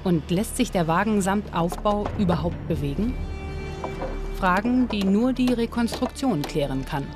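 A woman narrates a history documentary in a calm, measured voice-over. Her pitch sits in the middle range and her delivery stays steady. Clear background music plays under her voice. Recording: studio voice-over with music behind.

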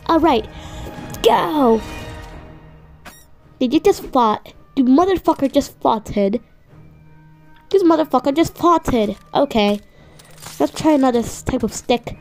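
Female performer as obsessed, irritable stick-figure inventor, comedic fan dub; slow muttering, swearing, mellow tone. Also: sits high, lively intonation, exaggerated voice. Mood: excited, muttering. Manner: muttering, profane. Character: frustrated, obsessive cartoon stick-figure inventor desperate for revenge. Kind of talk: improvised comedic fan voiceover of an animation. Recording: music playing behind the voice. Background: background music.

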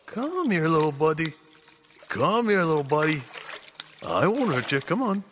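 A man's voice: on the high side, sing-song, unhurried, a bit bright, slight background noise. A man playing a dim, folksy pirate henchman with hesitant thug talk in a comic audio drama. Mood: coaxing. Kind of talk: audio comedy drama acting.

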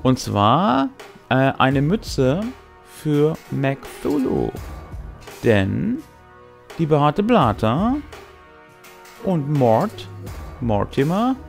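Gravelly middle-aged man, sick and tired. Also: on the high side, a little mellow. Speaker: host and game master. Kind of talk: casual recap of a tabletop role-playing game to camera. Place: small furnished room with brick wall. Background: music.

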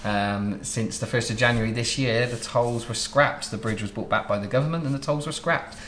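A man in his thirties from Southwest England hosting a relaxed interview, chatting and explaining at length. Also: medium pitch, moderate pace, roomy sound, slight background noise. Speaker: interview host, wedding photographer. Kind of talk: casual interview. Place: home studio office, indoors.

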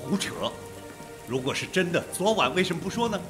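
An actor in a radio drama playing a suspicious, blunt police detective who probes and is easily rattled. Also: male voice, high-pitched, big pitch swings, fairly quick, music in the background.

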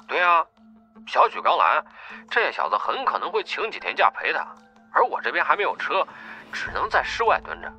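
A man plays a determined gangster over a phone line in an audiobook. The voice is very bright and forceful, lively in pitch, with a dull filtered sound. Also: normal speed, soft background music, heavily acted. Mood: insistent. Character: stubborn, determined young gang man on phone. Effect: telephone filter. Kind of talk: audiobook character acting.

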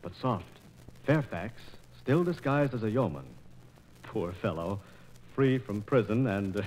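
A playful American man hosts a 1940s radio broadcast. His theatrical narration moves freely up and down in pitch. The old transcription disc adds faint noise. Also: lively intonation, measured pace, darkish tone, low-quality recording. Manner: playful, theatrical storyteller with rhetorical questions. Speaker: radio host narrating an operetta.

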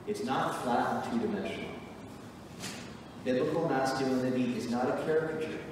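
Earnest middle-aged pastor in an echoey hall. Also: male voice, medium pitch, noisy background. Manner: earnest, emphatic preaching with measured pauses. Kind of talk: sermon. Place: church sanctuary, at a wooden pulpit. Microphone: pulpit mic, recorded from a far camera. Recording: echoey church hall from far off.

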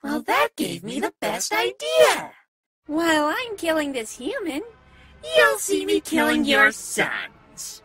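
A woman's voice: extremely high pitch, wildly swooping pitch, takes it slowly, a bit bright, faint music behind, heavily acted. A woman voicing a cute but sadistic talking flower in a cartoon, mock-sweet, gloating and theatrical. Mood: gloating cruelty. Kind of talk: animated fan dub acting.

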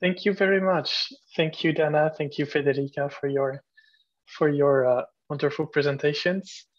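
A man's voice: fairly high pitch, fairly even intonation, normal speed, no room sound. A man moderating an online webinar question session, polite and inviting. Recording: dry, dull lo-fi video call audio.

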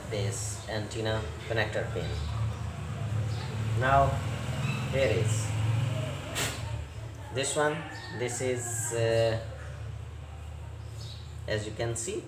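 Male repair technician, tutorial read: slow, halting non-native phrasing, echoey room with noise. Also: medium pitch. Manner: halting, fragmented instructional delivery with non-native English phrasing. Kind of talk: step-by-step hands-on repair tutorial. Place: indoor repair workbench. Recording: roomy echo, clear background noise.

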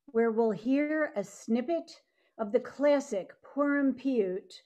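Older woman, slow scripted introduction. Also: fairly high pitch, warm tone. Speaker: presenter introducing music. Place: room at home. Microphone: video call.

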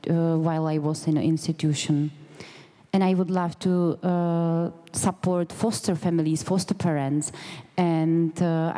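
A young woman interprets at a conference in a mellow, slightly low voice. She speaks through a handheld microphone, and the large hall rings around her words. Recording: reverberant conference hall sound.

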